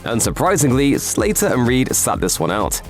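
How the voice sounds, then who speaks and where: A man delivers scripted video essay narration with a sardonic, emphatic edge. His pitch moves around in a lively way as he stresses key words. Music plays quietly underneath. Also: moderate pace. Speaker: voiceover narrator. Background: background music.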